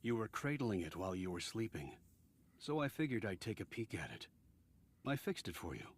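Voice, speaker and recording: A man's voice: on the low side, big pitch swings, brisk, husky, heavily acted. A man voicing an earnest, considerate supply convoy worker in an English-language video game. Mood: kind, solemn.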